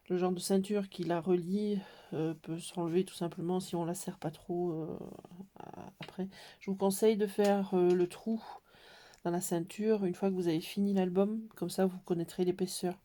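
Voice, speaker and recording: A woman with a cold explaining a scrapbook album in a hesitant, casual way, with many pauses and fillers. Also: fairly low pitch, steady tone, dark tone. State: has a cold. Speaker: scrapbooking tutorial maker. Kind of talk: casual craft tutorial.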